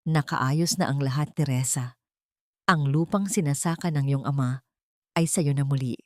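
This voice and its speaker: A woman voicing a tender, earnest man in love, speaking softly and sincerely in an audiobook drama. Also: low voice, normal speed, no echo, clean studio sound, natural voice. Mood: soft, reassuring. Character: tender, earnest man proposing to his beloved.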